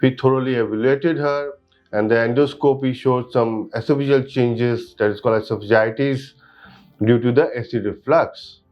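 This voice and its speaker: A man's voice: medium pitch, fairly even intonation, normal speed. A man with an Indian accent explaining a patient case as a surgeon, in a formal, clinical manner. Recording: dull audio under background music.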